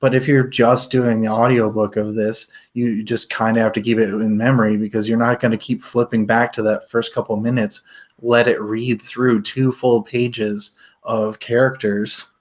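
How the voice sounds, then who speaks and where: A man's voice: fairly low pitch, little pitch movement, moderate pace. A man co-hosting a casual book talk show, rambling and hesitant, often laughing. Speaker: book podcast co-host.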